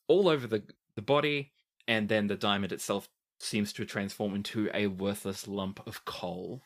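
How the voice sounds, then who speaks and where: A man narrating a tabletop game as game master, casual and halting as he improvises descriptions. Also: on the low side.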